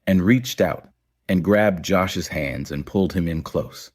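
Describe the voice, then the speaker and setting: A man narrating a scripted audio story in a measured, literary storytelling manner. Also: deep voice.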